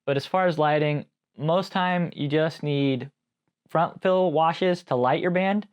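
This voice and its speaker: A man's voice: on the high side, slow pace, smooth. A man giving a casual, conversational explainer on gear as an informal video host. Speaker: host, live sound engineer.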